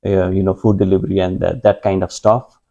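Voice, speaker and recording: A man's voice: low-pitched, level tone, a little fast, dark tone, dull audio. A man from Nepal in his thirties, a guest speaking in a conversational interview, hesitant with many fillers and restarts.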